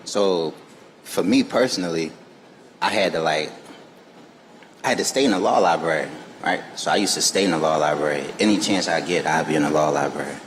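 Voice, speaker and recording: A man's voice: rumbling low, fairly quick, long reverb tail, slight background noise. A man speaking as a guest on a live festival panel, earnest and emphatic, with informal phrasing. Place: festival stage before an audience.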